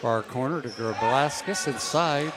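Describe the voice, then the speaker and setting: A man giving rapid, clipped play-by-play commentary on a basketball game. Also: medium pitch. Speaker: play-by-play announcer.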